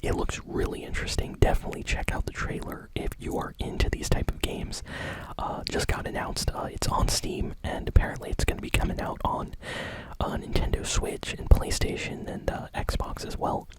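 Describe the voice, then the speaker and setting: A man hosting a gaming news roundup in a soft, unvoiced ASMR whisper, chatting casually with lots of fillers. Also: normal speed, poor audio. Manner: casual, filler-heavy, relaxed chatty delivery.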